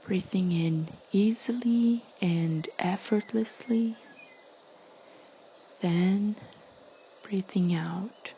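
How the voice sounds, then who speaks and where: Very slow, hushed woman guiding meditation. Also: mid-range voice, muffled recording, slight background noise. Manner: soothing, hushed, breathy delivery with long pauses. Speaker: meditation guide. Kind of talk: guided meditation narration.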